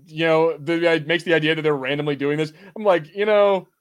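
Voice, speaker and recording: A man's voice: on the high side, fairly even intonation, brisk. A man co-hosting a casual movie watch-party podcast, bantering and swearing freely. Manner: frequent swearing. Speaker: podcast co-host.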